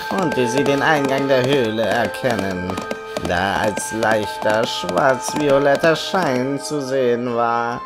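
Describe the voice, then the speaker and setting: A man's voice: mid-range voice, a bit bright. A man playing a mischievous trickster creature, telling a spooky campfire tale in a theatrical, suspenseful audio-play style. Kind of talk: scripted dramatic storytelling, audio play. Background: background music.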